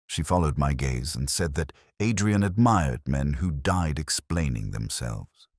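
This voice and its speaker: A man narrating a scripted first-person detective story in a measured, literary, reflective manner. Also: extremely low pitch, lively intonation, darkish tone, no echo, studio quality.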